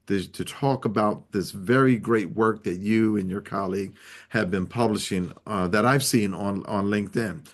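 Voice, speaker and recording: A man hosting an interview program, speaking in a formal introductory style with measured, deliberate phrasing. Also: medium pitch. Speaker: interview show host.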